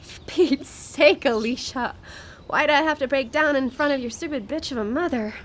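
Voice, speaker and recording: A grieving widow with a high voice, wounded and insistent. She speaks emotionally and urgently in a live radio play, with some background noise. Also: moderate pace, fairly bright tone. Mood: hurt, exasperated. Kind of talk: live radio drama acting.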